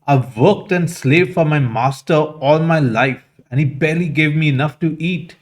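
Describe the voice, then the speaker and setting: A man's voice: a little high, moderate pace, natural voice. A man voicing an old, worn-out donkey, bitter and resigned, in a told fable.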